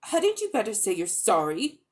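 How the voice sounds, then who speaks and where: A woman's voice: medium pitch, wildly swooping pitch, moderate pace. A woman voicing a cool, cutting young schoolgirl in a dramatic storybook reading. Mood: cool, huffy.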